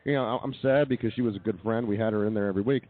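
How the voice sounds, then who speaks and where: An American man hosts casual comedy radio, talking quickly in a mock-confessional, wordy way. His voice comes through tinny, like a phone line. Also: on the low side. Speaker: comedy radio show host. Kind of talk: casual improvised comedy banter. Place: live remote broadcast at a brewery.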